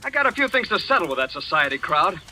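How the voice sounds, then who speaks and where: An actor playing a bitter, tough young working man in an old radio mystery, gruff and blunt in manner. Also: a little high, big pitch swings, fast talker, sharp tone, exaggerated voice, poor audio. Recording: old radio recording, faint noise.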